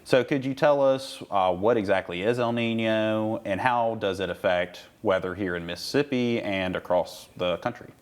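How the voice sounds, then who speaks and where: A man's voice: on the low side, moderate pace, few pauses. A man hosting a university interview show, asking upbeat, enthusiastic questions. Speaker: host.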